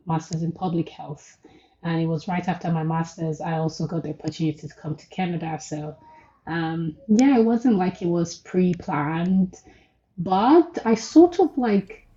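A woman in her thirties from Nigeria, a podcast guest who tells her story in a casual, animated way and laughs often. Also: lowish voice, normal speed, highs cut off. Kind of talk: casual interview chat.

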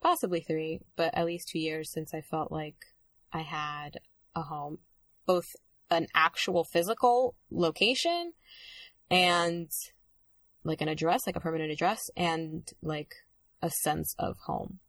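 A woman's voice: low voice, measured pace, a bit bright, dry recording. Hesitant, reflective woman, dry close sound. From Dominican Republic. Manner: reflective, hesitant, many fillers and restarts. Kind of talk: casual conversation.

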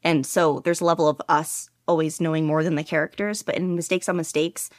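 A woman's voice: low-pitched, flat delivery, a little fast, no room sound, clean studio sound. A woman hosting a casual podcast chat, talking enthusiastically with lots of fillers and laughter. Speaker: podcast host.

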